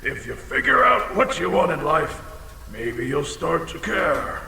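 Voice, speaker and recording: An actor playing a huge, touchy, boastful ancient dragon in an audio drama, rambling theatrically with a gravelly voice. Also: male voice, fairly high pitch, big pitch swings, a little slow, sharp tone, exaggerated voice. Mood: earnest, rambling. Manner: theatrical, rambling, swings into bellowing. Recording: cavernous echo with long reverb, faint noise.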